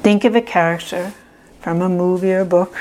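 A woman leading a guided self-help visualization in a calm, measured way with long pauses between phrases. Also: lowish voice, a little hiss or hum, poor audio. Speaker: meditation guide.

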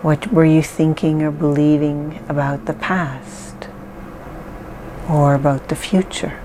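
A woman leading a guided mindfulness exercise, speaking calmly and softly with long pauses. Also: low-pitched, a little hiss or hum, poor audio. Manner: slow, calm, soft-spoken guidance with pauses. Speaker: therapist guiding an exercise.

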